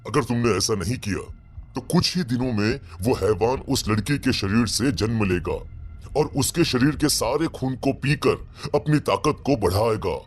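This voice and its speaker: A man's voice: medium pitch, normal speed, natural voice. A man playing a grave old village priest who speaks with solemn foreboding in a horror story. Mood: stern warning. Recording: background music under the voice.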